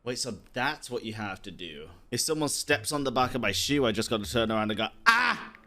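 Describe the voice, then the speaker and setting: A man's voice: mid-range voice, very animated, brisk, pushed and bright. A man giving casual live commentary on a game, with joking, sarcastic asides. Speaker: game streamer. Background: game music.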